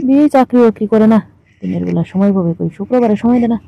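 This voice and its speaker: A woman's voice: mid-range voice, quick pace, creaky. A woman in a casual, teasing, colloquial phone chat. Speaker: caller in a staged phone conversation. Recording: muffled lo-fi phone call recording.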